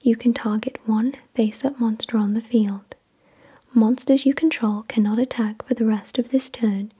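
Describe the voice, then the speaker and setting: A woman whispering in a slow, deliberate, soothing way, reading aloud in ASMR style with a breathy, unvoiced delivery. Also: fairly high pitch, dark tone. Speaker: ASMR video host.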